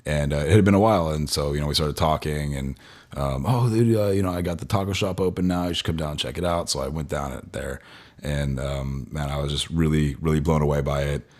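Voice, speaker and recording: A man co-hosting a podcast in casual, halting, meandering talk. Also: extremely low pitch, darkish tone. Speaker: podcast co-host. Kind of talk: casual chat.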